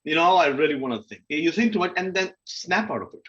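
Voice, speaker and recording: A man's voice: on the high side, expressive, fast talker, fairly bright tone, muffled recording. A man speaking candidly and informally as a panel guest, often voicing his inner dialogue in a conversational way. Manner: informal, self-questioning, acts out inner dialogue. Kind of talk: candid conversational panel talk about personal experience.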